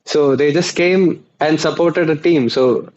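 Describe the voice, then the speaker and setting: Guest journalist: man, mid voice, measured explaining, muffled remote line. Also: on the high side, darkish tone. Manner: explanatory, with many uh fillers and restarts. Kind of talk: conversational interview.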